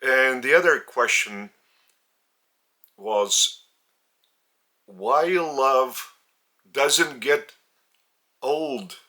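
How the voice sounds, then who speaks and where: A man with a Lithuanian accent, a native Lithuanian speaker, giving a spiritual teaching from home in a slow, deliberate, earnest way with many pauses. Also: mid-range voice, bright, forceful tone. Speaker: religious teacher. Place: indoors at home.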